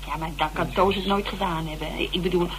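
A woman's voice: on the low side, fairly even intonation, fast talker, a crowd in the background, lightly acted. A woman in a Dutch radio drama playing a keen, chatty colleague who chimes in readily. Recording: old radio recording with voices behind.

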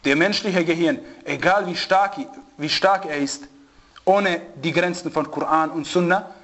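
A man giving an informal religious lesson to a small group, telling anecdotes with animated, repetitive phrasing. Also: on the high side, a little slow, muffled recording. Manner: animated storyteller, repeats and restarts phrases. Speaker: religious teacher.

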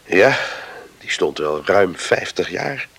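Grave island villager: very low, husky, measured and solemn, for radio drama. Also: male voice, animated, a bit bright, exaggerated voice.

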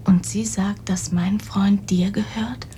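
Radio play role: actress as naive, self-doubting girl in her youth, medium pitch, hesitant, faint rumble behind. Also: fairly even intonation, slow, mellow, natural voice. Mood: puzzled. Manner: meek, hesitant, terse. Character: timid, naive, doubting poor young woman. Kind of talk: radio drama acting.

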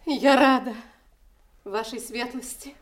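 A woman acting a cold, haughty princess and stern daughter, speaking in a measured, formal, reproving way in classical tragedy style. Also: a little high, roomy sound, a little hiss or hum. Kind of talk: classical stage tragedy, audio play acting.

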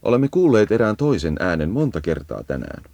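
A man giving a measured, instructive scripted narration for an educational nature guide. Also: fairly low pitch, sing-song, a little mellow, no room sound.

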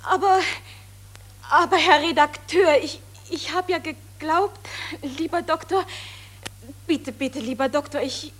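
A woman's voice: sits high, measured pace, long pauses, fairly bright tone. A woman playing a flustered, easily intimidated actress, theatrical and gushing, in a 1940s German radio play. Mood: flustered pleading. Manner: stammering, gushing, pleading. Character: flustered, intimidated actress turning ingratiating and apologetic.

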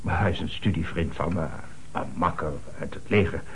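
An actor playing an earnest, formal middle-aged notary in a radio play, speaking in a measured way with dramatic emphasis. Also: male voice, low-pitched, slight background noise, lightly acted. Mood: indignant.

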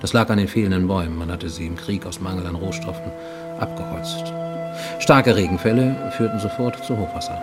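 An actor in a German radio play voicing a haunted middle-aged Japanese fugitive, formal and intense, sometimes dropping to a near whisper. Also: male voice, low-pitched, fairly quick, over music, natural voice. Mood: reflective, narrating.

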